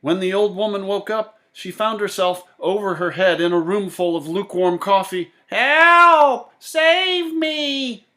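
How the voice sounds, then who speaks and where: A man reading a children's story aloud in a theatrical, expressive way. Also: high voice, moderate pace, hardly any pauses. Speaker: storybook reader. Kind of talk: animated children's story narration.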